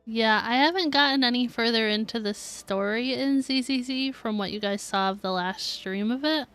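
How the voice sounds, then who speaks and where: A woman with a fairly high voice chats casually on a game livestream. She thinks aloud in an informal way as she addresses viewers. Soft music runs faintly behind her. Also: moderate pace, clean tone. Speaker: game streamer.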